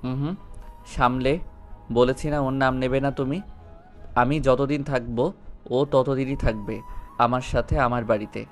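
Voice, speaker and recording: A male actor as a wealthy young man in an audio love story. He is commanding and protective, with a firm, cutting delivery at a middling pitch. Music plays behind him. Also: steady tone, a little slow, long pauses, natural voice. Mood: angry, defiant. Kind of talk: Bengali audio story acting.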